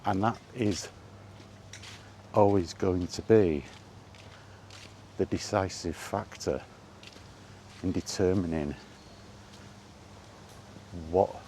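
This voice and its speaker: Male, deep voice, slow halting delivery, casual off-the-cuff chat, faint outdoor noise behind. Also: warm tone. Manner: halting, musing, repeats phrases. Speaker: host of a gaming chat series. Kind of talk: casual rambling monologue about video games.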